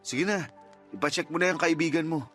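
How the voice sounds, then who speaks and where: A man's voice: a little high, brisk, a bit bright, faint music behind, natural voice. An actor playing a cold, reproachful, well-off estranged father in a radio drama. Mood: resigned. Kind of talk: Tagalog radio drama acting.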